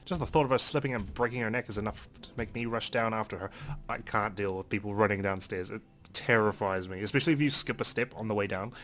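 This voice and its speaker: A man's voice: fairly low pitch, fast talker, a bit bright. A man hosting a let's play, reading a visual novel aloud as narration with casual commentary of his own. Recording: faint game music behind. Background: game music.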